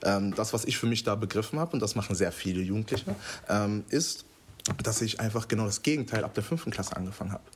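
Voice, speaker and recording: A man's voice: on the low side, expressive, quick pace, slight background noise. A young man who runs school workshops on discrimination, telling his story casually on a panel and hunting for words with many fillers. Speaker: anti-discrimination school workshop trainer, guest. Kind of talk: personal account in a panel talk.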